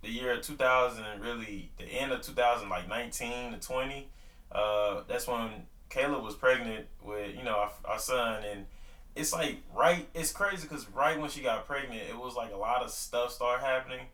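A man's voice: mid-range voice, normal speed, a bit bright, roomy sound, far from the mic, low-quality recording. A man in his early twenties, talking casually about his marriage and faith, with lots of fillers and loose grammar. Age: 20s. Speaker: podcast co-host. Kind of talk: casual faith-based couple talk.

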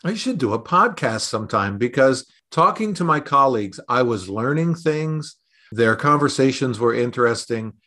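A man's voice: mid-range voice, lively intonation, moderate pace, bright, forceful tone. A middle-aged man with a mid-range voice speaks on a podcast. He moves between prepared introductions and conversational answers as a host and consultant. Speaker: podcast host, consultant being interviewed as guest.

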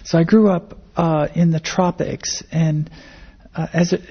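A woman's voice: sits low, fairly even intonation, a little slow, slightly rough. An older American woman answering interview questions in a thoughtful, hesitant conversational way, often repeating words as the thought forms. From grew up in Indonesia and the Philippines, American-born. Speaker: interviewee, academic biologist. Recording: dull, muffled lo-fi interview recording.

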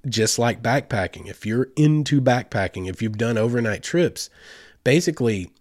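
A man's voice: mid-range voice, lively intonation, normal speed, darkish tone, no echo, clean studio sound. A man congested with a head cold gives casual, rambling instructional talk as a host, in a folksy, informal way with slang. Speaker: host giving outdoor trip advice.

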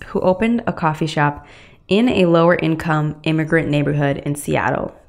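A woman hosting a podcast, reading a measured scripted introduction and then asking thoughtful interview questions. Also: low voice, creaky. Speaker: podcast host.

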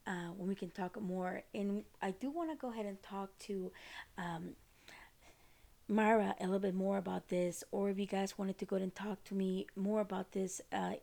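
A woman's voice: medium pitch, steady tone, moderate pace, gravelly, warm tone. A woman from Costa Rica with a Costa Rican Spanish accent, hosting a podcast and explaining things in a rambling way with many fillers and restarts. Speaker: podcast host.

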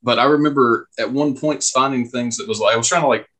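A man's voice: medium pitch, fast, a bit bright. A man chatting casually as a podcast co-host, hesitant and often searching for his words. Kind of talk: casual chat.

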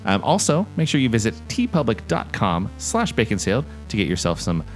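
A man's voice: on the low side, wildly swooping pitch, a little fast, faint music behind. A man co-hosting a podcast, reading out the show's social media and merchandise plugs in an easygoing way. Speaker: podcast co-host.

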